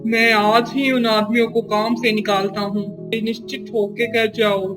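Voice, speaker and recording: An actor playing a sly, greedy male merchant in a Hindi moral-story cartoon, smooth and ingratiating in manner. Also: medium pitch, flat delivery, measured pace, natural voice, poor audio. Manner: ingratiating in company, scheming when alone. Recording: music behind the voice.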